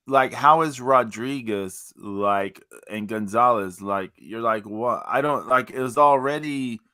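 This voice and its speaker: A man with a mid-range voice, recorded dry and close. He talks haltingly in casual podcast chat, peppering his sentences with filler words. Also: medium pitch, sharp tone, dry recording. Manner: halting, lots of "like". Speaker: podcast co-host.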